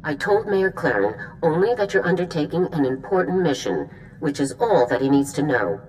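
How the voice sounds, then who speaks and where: A stern female general from a video game. Her voice is extremely low and lively in pitch as she gives formal, commanding orders. There is faint noise behind her. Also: normal speed, low-quality recording. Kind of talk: video game voice acting, mission briefing.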